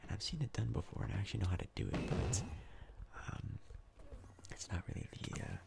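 A man giving hushed, casual, halting ASMR gameplay commentary in a breathy, mostly whispered voice as a video host. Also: on the low side, huge pitch swings, over music.

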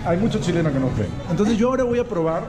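A man's voice: high-pitched, very animated, very fast. A middle-aged Peruvian man hosting a casual food vlog, chatty and explaining things for his viewers, filmed on a handheld action camera. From Peru. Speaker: vlog host. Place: busy restaurant indoors. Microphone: action camera held close. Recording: loud crowd noise in restaurant. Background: loud crowd chatter.

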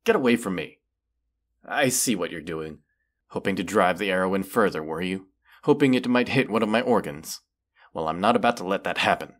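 A man voicing a proud, menacing wounded dragon in a fantasy audio roleplay, speaking in a haughty, deliberate, archaic way. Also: deep voice, lively intonation, a bit bright. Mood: defensive anger.

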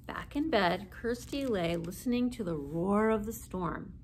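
A woman's voice: mid-range voice, lively intonation, a little slow, creaky, slight background noise. A woman in her forties reading a children's story aloud expressively, seated indoors a short distance from the camera.

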